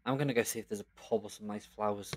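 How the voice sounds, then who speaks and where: A man's voice: lowish voice, fairly even intonation, fast talker, a little hiss or hum, close to an everyday voice. A man playing a sulky, flippant young traveller who hides hurt behind jokes in an audio drama. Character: sulky, joking young male traveller who feels inadequate.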